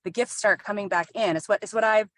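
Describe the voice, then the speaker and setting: A woman's voice: medium pitch, fairly even intonation, fast. A woman sharing earnestly with many fillers from a car on a group video call. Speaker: group participant, single mother.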